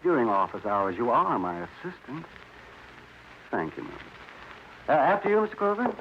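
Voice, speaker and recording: A man's voice: medium pitch, wildly swooping pitch, moderate pace. Fussy chatty american dentist guy from an old radio crime show, voice bouncing all over, dull hissy sound. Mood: chatty, nervous. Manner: hesitant, chuckling, over-polite. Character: fussy, chatty, nervously affable dentist and stepfather. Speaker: radio drama actor. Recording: dull, noisy vintage radio recording.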